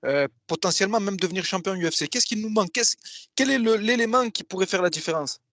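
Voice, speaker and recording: A man with a high voice, heard close and dry, hosting an interview. He asks questions casually and agreeably, and restates his guest's points. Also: quick pace, fairly bright tone, no echo.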